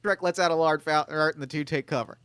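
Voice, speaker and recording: A man reads the stage directions with a bright, slightly high voice. He stays plain, with a few mouth sound effects thrown in. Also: steady tone, fairly quick, a little hiss or hum. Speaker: narrator reading stage directions. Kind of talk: table read narration.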